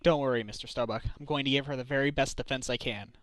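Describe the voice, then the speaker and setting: A man's voice: mid-range voice, fairly even intonation, fast talker, no room sound, close to an everyday voice. A man playing a decent, wry defense lawyer and father in an amateur game fandub, talking in a conversational way. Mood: reassuring, resolute.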